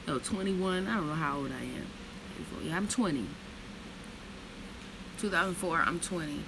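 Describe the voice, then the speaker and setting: Woman reading aloud over road noise. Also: low-pitched. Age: 30s. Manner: slow reflective reading, then blunt profane chatter. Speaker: vlogger reading from a book. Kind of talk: reading aloud then casual storytelling.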